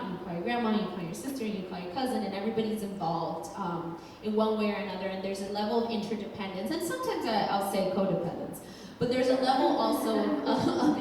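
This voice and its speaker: A woman's voice: mid-range voice, a little hiss or hum. A woman from Colombia, an executive director, talking thoughtfully to a live audience with many ums and restarts. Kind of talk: panel talk to a live audience. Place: large hall with audience. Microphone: handheld microphone. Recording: echoey hall from far off.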